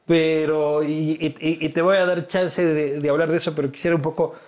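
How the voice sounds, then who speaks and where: A man's voice: on the high side, level tone, a little fast, darkish tone. A man with an Ecuadorian accent hosting a casual, slangy interview, probing and teasing, often circling back over his words as he forms a question. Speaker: interviewer, host.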